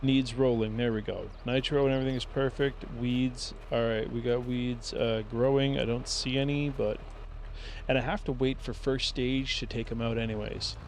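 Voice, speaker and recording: A man streaming a farming game, thinking aloud in a relaxed, rambling way as he plays. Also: medium pitch, moderate pace, a little hiss or hum. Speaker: gaming streamer. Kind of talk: casual gameplay commentary.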